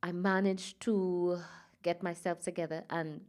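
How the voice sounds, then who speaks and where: A woman from Trinidad and Tobago speaks as a guest in an interview. Her voice is low and mellow. She talks softly and reflectively, pausing often and filling gaps with hesitations. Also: flat delivery. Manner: soft, reflective, halting with fillers. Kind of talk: personal interview.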